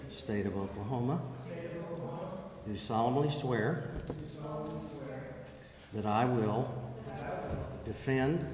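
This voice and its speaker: Slow, formal man in an echoey hall. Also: mid-range voice, background noise. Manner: measured, solemn, in short phrases for repetition. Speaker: police chief administering an oath. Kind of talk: formal oath read out phrase by phrase. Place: large echoey council chamber. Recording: echoey hall, distant mic, people audible.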